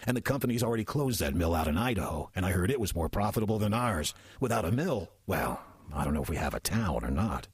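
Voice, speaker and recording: An actor playing a genial, wry old demon who passes as a folksy small-town churchgoer in an audio drama, with a husky, drawling voice. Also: male voice, sits low, sing-song, fast, few pauses, no room sound, studio quality, heavily acted. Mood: folksy, musing. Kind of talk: audio drama dialogue and narration.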